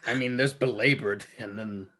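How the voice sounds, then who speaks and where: A man's voice: mid-range voice, steady tone, moderate pace, pushed and bright, breathy edge, faint music behind. A man on a gaming podcast, sardonic and swearing, often breaking into laughter. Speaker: let's play co-host. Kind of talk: casual podcast banter.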